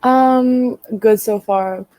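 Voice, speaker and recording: A woman's voice: a little high, extremely slow, mellow, dry recording. A young woman from Greece, a college volleyball player answering interview questions casually, hesitant with many ums and frequent laughs. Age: young adult.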